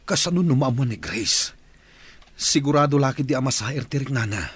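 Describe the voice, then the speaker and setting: An actor playing a worried, guilt-ridden cheating husband in an Ilocano radio drama, speaking in a hesitant, anxious way. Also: male voice, mid-range voice, lively intonation, brisk, husky, no echo.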